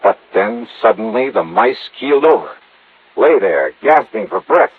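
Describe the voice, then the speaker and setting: An actor playing a shrewd, probing insurance investigator with a clipped hard-boiled delivery, in old-time radio detective style. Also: male voice, fairly low pitch, big pitch swings, a little slow, husky, exaggerated voice. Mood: tense narration. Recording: narrow, noisy vintage radio recording.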